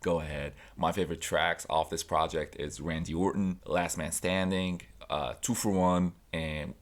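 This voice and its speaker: A man's voice: deep voice, steady tone, moderate pace. A young man from Tunisia, a native Arabic speaker, chatting casually about music he recommends, with loose fillers and restarts. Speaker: music vlogger.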